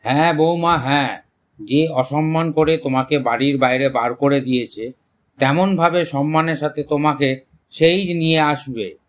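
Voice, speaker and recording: Cartoon village landlord, played by a man: older, supportive father-in-law, emphatic, pitched a little high. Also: moderate pace, lightly acted. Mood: warm, approving. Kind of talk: animated story dialogue.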